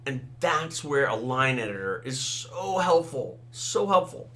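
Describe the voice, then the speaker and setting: A man's voice: medium pitch, very animated, unhurried, a bit bright. A middle-aged man whose first language is Tamil, hosting a casual solo talk about writing and editing, with frequent fillers and "right?" asides. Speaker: podcast host, author and game designer.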